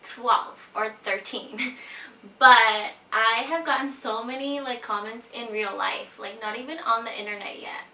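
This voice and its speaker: A woman's voice: on the high side, unhurried, pushed and bright, slight background noise. A woman in her twenties from Peru, a native Spanish speaker, chatting casually to her viewers in a sit-down vlog, with lots of "like" fillers and giggly asides. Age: 20s. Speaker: vlogger. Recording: roomy echo, voice a bit far from mic.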